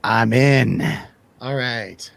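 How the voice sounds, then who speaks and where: A man's voice: medium pitch, drawn-out words, creaky, a bit bright, slight background noise. Jokey male radio host. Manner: jokey, playful. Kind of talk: casual movie review banter on a radio show.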